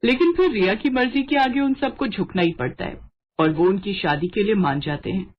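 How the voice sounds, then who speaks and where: A woman's voice: mid-range voice, normal speed, slight background noise. A woman narrator reading a scripted family story on dull audio.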